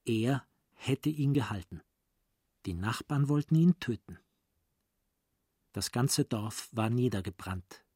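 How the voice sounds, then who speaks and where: A man narrating a radio play as a literary storyteller, in a deliberate, dramatic manner. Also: medium pitch, animated, warm tone, slightly rough, no echo, studio quality.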